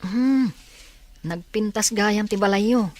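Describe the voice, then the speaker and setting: A woman's voice: medium pitch, slow pace, a little mellow, natural voice. A woman with a Filipino accent acting a friendly teenage schoolmate in a radio drama.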